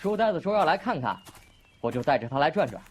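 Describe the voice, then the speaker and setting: An actor playing an excitable, brash young master, the boyish little brother, in an audio drama. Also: medium pitch, fast, background noise, close to an everyday voice. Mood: curious, brash. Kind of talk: Chinese audio drama acting. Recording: music under the voice.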